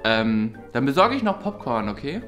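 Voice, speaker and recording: A young man sharing a flat, laid-back and a little muddled. He talks haltingly in a middling pitch, with faint music behind him, as in a light web drama. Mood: relaxed. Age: young adult. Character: easygoing, muddled, hesitant young male flatmate. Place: indoor flat.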